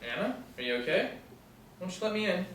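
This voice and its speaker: A man's voice: sits high, sing-song, fast talker, a bit bright. Man reading as confident boyfriend, very echoey room. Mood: smooth, teasing. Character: smooth, self-confident businessman boyfriend in his early thirties. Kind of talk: script read aloud at a table reading. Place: small indoor room, seated group. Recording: very echoey room, distant mic, faint noise.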